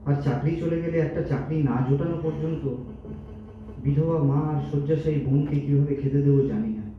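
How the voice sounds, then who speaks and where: A man acts out a principled young accountant in a staged audio drama, deferential but impassioned. He speaks quickly in a high male voice. The recording is distant, with heavy hall echo. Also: close to an everyday voice. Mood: humble, resolute. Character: earnest, principled young male accountant, humble yet defiant. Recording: distant echoey hall, dull, faint noise.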